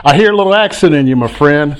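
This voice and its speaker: A man hosting a call-in chat as a real estate coach, talking in an animated, preachy way and repeating himself for emphasis. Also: on the high side, quick pace, noisy background.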